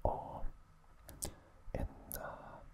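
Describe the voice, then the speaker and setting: Slow, creaky ASMR whisper. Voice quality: breathy unvoiced whisper. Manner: slow, hushed, unhurried delivery. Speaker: ASMR host recapping an anime series. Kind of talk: whispered ASMR trivia narration.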